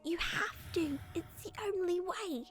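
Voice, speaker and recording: A woman's voice: very high-pitched, unhurried, soft background music. A woman voicing a sinister living doll, a sweetly coaxing, murderous little girl in a horror radio drama. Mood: urging, secretive. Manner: sing-song, coaxing, giggling.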